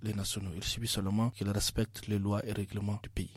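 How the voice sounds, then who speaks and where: A man from Niger answering a radio interview, halting and full of hesitations. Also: lowish voice, level tone, slightly rough, dry recording.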